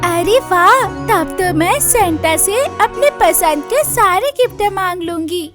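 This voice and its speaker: A woman voicing an innocent, excited little girl bird in a Hindi cartoon story. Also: extremely high pitch, slow, voice pushed up, heavily acted. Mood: delighted. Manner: childlike, eager. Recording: music behind the voice.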